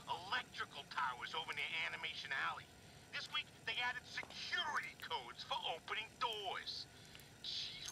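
A man's voice: high-pitched, noisy background. An actor playing a lazy, grumbling male factory worker in a video game audio log, with a folksy manner.

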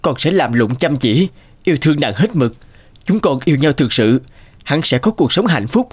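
An actor playing a poor, humble young suitor in an animated folk tale, respectful and earnest. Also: male voice, a little high, normal speed, husky. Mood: humble, pleading. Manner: respectful, pleading, gentle.

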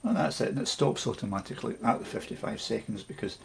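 An older man, slowly explaining home recording steps in a small home studio. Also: mid-range voice, creaky. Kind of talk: casual tutorial.

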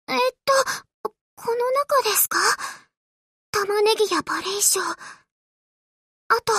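An actress voicing a shy, earnest young anime warship girl in a game, polite and gentle with a hesitant, stammering manner. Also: extremely high pitch, no echo, exaggerated voice.

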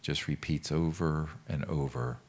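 A man leading a guided meditation as a teacher, speaking in a calm, gentle, measured way with pauses. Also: extremely low pitch. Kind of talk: guided meditation with mantra instruction.

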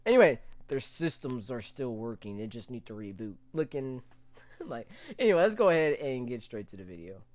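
Animated young American man, jokey reactor. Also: mid-range voice, moderate pace. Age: young adult. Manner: jokey, animated, slangy, often laughing. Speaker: reaction video host. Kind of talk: casual reaction commentary. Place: small room, indoors. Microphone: desk condenser mic with pop filter.

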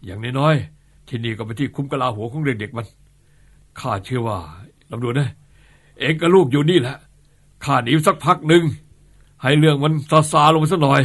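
A rough middle-aged outlaw leader barks orders in coarse country speech, as a man plays him. His voice sits mid-range and sounds gruff. It is a radio-style drama. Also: mid-range voice, moderate pace, pauses often, fairly bright tone. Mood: tender.

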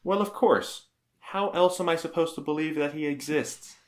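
A woman's voice: deep voice, moderate pace, lightly acted. A woman playing a sceptical, bewildered young Roman nobleman in an audio drama, hesitant and incredulous. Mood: puzzled, doubtful.

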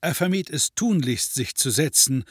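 A man's voice: a little high, animated, normal speed, slightly rough, no echo. A man narrating an occult detective comedy audio drama in a dry, wry, mock-solemn manner.

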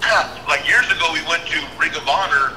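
A man from San Antonio, Texas, a wrestling trainer speaking as the guest in a casual interview, in a laid-back, unhurried way. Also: on the high side, reverberant, background noise.

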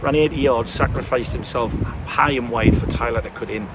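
A man in his fifties, a football manager, talking frankly after a match, often restarting his sentences as he thinks aloud, recorded outdoors beside a pitch. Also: a little fast. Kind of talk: post-match interview. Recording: loud wind and outdoor noise.

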